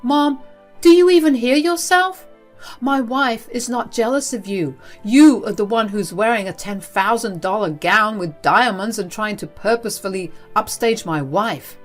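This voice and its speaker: A woman's voice: mid-range voice, normal speed, hardly any pauses, faint music behind, lightly acted. A woman voicing an indignant young groom who scolds his mother in an exasperated, emphatic way.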